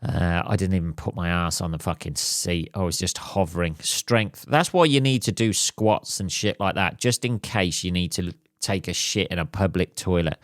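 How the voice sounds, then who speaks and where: Male host, slightly hoarse, crude and jokey solo ramble, dry and close. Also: sits low, lively intonation, moderate pace, few pauses, no room sound. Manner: crude, swears a lot, jokey. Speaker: podcast host. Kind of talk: casual solo podcast rambling. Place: at home.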